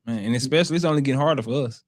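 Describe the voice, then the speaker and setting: A man talks as a podcast guest in a casual, agreeable way, often backing up the host with short affirmations. His voice has a middle male pitch. The sound is dry and close. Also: rapid-fire, no room sound.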